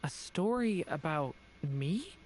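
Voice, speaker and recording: Innocent young dragonborn boy for a game: soft, earnest, boyish pitch, faint ambience behind. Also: animated, slow pace, slight background noise. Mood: wondering. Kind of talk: video game voice acting.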